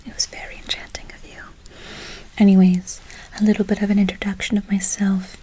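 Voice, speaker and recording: Woman as a whispering, breathy forest witch. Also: medium pitch, flat delivery, warm tone, natural voice. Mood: soothing, mysterious. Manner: slow, repeating words soothingly, quaint formal phrasing. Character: kindly, mysterious female forest witch casting good-luck spells. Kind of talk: whispered ASMR role play.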